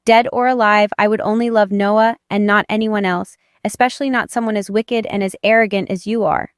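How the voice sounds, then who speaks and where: An angry, defiant young heroine of a romance audio drama, acted out by a woman. Her voice is smooth and clear, pushed into panicked, grieving insistence. The sound is dry and free of room. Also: medium pitch, steady tone, fairly quick, dry recording, lightly acted. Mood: furious, defiant.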